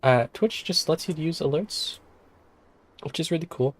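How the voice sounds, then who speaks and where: A woman streamer with a sick, hoarse voice, chatting casually and rambling with many fillers and restarts. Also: low-pitched, moderate pace, slight background noise. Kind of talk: casual livestream chat.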